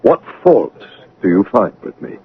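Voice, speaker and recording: An actor playing a dour, brusque master of the house in a radio drama, with an imperious, probing manner and a husky voice. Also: male voice, sits low, huge pitch swings, a little slow, narrow, tinny audio, heavily acted. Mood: imperious, teasing. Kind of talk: theatrical radio drama acting. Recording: band-limited vintage radio drama broadcast.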